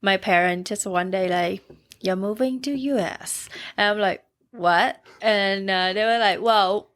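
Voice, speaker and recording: A woman's voice: medium pitch, measured pace, a bit bright. A young woman from Vietnam with a thick Vietnamese accent, a bakery owner telling her story in a podcast interview, using simple broken English with fillers. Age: young adult. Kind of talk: casual interview chat.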